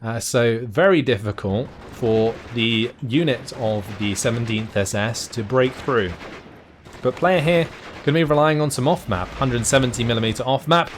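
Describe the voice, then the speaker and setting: A man commentating a strategy game match live, his voice hoarse and going as he talks. He calls the action in quick, excitable bursts and breaks into laughter at wild moments. His pitch sits in an ordinary middle range for a man. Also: slight background noise. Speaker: game caster.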